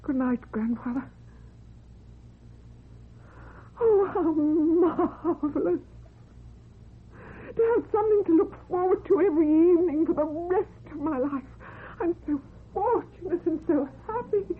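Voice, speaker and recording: An actress playing a lonely, fragile office worker, childlike and devoted, earnest and emotional, in a radio suspense drama. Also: female voice, very high voice, measured pace. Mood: rapturous. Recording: thin, narrow old radio recording.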